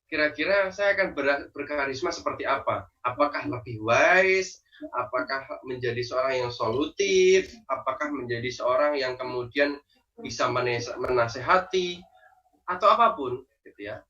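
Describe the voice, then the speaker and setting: Man, Javanese accent, bright sharp voice, persuasive trainer, distant muffled video call. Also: medium pitch, animated, normal speed. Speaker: guest speaker and trainer. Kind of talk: online class teaching.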